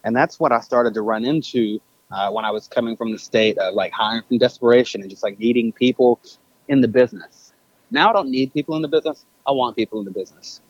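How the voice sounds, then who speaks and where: A man's voice: mid-range voice, fast, dry recording. A man being interviewed over the phone, talking thoughtfully with lots of fillers and self-corrections. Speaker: podcast guest, business owner. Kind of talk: casual interview talk. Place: on a phone call. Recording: dull phone line.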